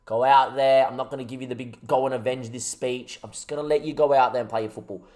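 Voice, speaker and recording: A young man talks through his football management game on a live stream, his voice sitting at a medium pitch. He chats with his viewers and builds himself up with rallying, excitable talk. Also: fast. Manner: excitable, rallying, chatty with chat. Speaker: gaming streamer.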